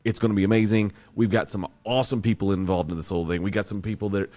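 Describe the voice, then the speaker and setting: A man's voice: on the low side, steady tone, racing, warm tone, soft background music. A man hosting his podcast, chatting casually and rambling with upbeat hype. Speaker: podcast host. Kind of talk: casual video-call podcast chat.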